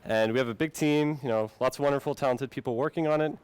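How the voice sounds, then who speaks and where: A young man born in Finland giving a friendly, relaxed presentation to a meetup audience. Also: medium pitch, fast, clear, resonant tone. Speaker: conference speaker. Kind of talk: tech talk presentation.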